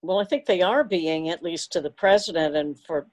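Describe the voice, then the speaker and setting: A woman's voice: on the low side, moderate pace, darkish tone. A woman chatting casually and jokingly with fellow club members on a video call, often laughing. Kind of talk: casual group chat. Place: indoors at home, video call.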